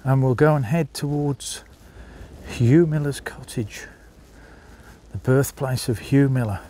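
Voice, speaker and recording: A man's voice: mid-range voice, slow, mellow. A man with a Birmingham accent narrating a walking tour outdoors, chatting to viewers and calling them folks as he films with a handheld phone. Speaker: vlogger. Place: outdoors, walking a small seaside town.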